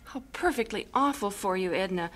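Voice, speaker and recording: Actress as a young woman teacher, earnest and wistful, mid pitch, radio play. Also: expressive, moderate pace, over loud music, natural voice. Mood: sympathetic. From Canada. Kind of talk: radio drama acting.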